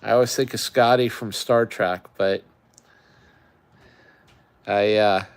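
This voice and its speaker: A man's voice: lowish voice, steady tone, slow, clean tone, no echo. A middle-aged man from the Great Lakes region hosting a camping channel, chatting casually on a live stream in a rambling way with lots of fillers.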